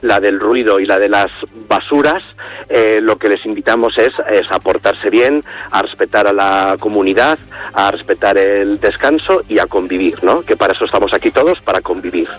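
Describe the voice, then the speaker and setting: A man, a city councillor, giving a measured explanatory statement for the radio. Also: fairly low pitch. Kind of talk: recorded statement.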